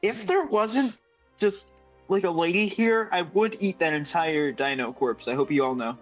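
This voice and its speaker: A man's voice: high-pitched, expressive, normal speed. A man playing a tabletop game, chatting casually with friends over an online call. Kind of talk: casual group game chat. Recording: dull lo-fi mic, faint music behind.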